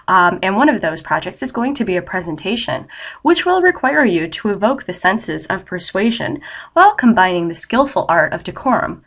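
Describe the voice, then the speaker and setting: A woman's voice: fairly low pitch, a bit bright. A young adult woman giving an online college English lecture while battling a head cold, sounding nasal and a bit scratchy, explaining steadily with frequent ums.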